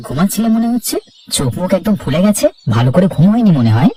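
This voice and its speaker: A man's voice: high voice, very animated, fast talker, dark tone, slight background noise. A man voicing a concerned, peacemaking young husband in a children's cartoon, anxious and pleading, recorded at a distance. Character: young married office worker, concerned, peacemaking son. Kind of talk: Bengali children's cartoon dialogue.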